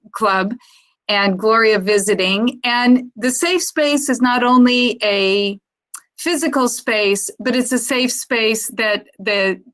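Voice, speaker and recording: A woman giving an informal, unscripted presentation on an online conference call, speaking in a halting, reflective way as an organisation's founder. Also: fairly high pitch, fairly bright tone, poor audio.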